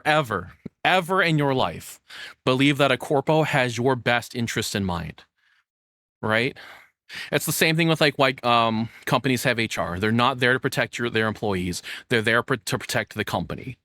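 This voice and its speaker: A man's voice: mid-range voice, fairly bright tone, no echo, studio quality. A man giving blunt, opinionated, off-the-cuff commentary as a streamer, with casual swearing. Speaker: streamer reacting to a video.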